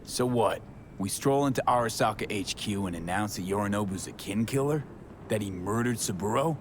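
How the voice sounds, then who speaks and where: A blunt male mercenary with a low voice, terse and sardonic, clipping his sentences short, as a man plays him. Clear background noise runs under the game audio. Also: brisk, natural voice. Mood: skeptical.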